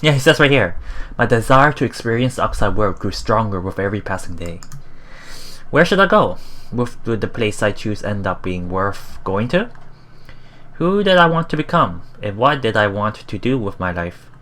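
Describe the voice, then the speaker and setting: Halting, musing visual novel let's player. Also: mid-range voice, sing-song, a bit bright. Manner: halting, musing, repeats phrases. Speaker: let's play host. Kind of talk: reading a visual novel aloud with casual commentary.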